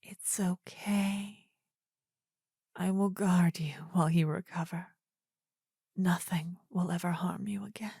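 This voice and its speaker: Whispering, very slow demon queen played by a woman. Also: lowish voice, steady tone, warm tone, no echo, studio quality, heavily acted. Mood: soothing, protective. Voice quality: breathy, husky whisper. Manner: slow, soothing, intimate, formal archaic phrasing. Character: possessive, adoring demon queen, tender yet menacing female lover. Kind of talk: whispered ASMR fantasy roleplay. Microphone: close ASMR microphone, dry.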